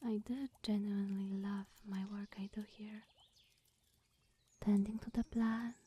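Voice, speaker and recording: A woman playing a lonely, awkward immortal witch in an ASMR roleplay, speaking in a breathy, whispery, hesitant and coy way. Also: mid-range voice, level tone, unhurried, warm tone. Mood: wistful confession.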